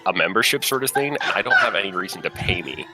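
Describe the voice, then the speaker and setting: A man's voice: deep voice, lively intonation, quick pace, over loud music. A man doing casual, playful game commentary in a soothing voice, joking about himself and laughing often.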